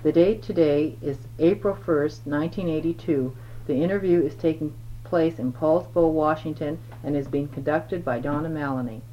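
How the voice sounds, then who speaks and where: A woman's voice: low voice, moderate pace. A woman conducting an oral history interview, asking questions and giving patient, encouraging prompts. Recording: dull old tape with faint noise.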